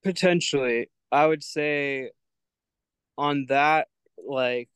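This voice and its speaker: A man's voice: a little high, takes it slowly, fairly bright tone, smooth, dry recording. A young man as a podcast guest, talking in a thoughtful, searching way with many "like" fillers. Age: young adult. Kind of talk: reflective spiritual conversation.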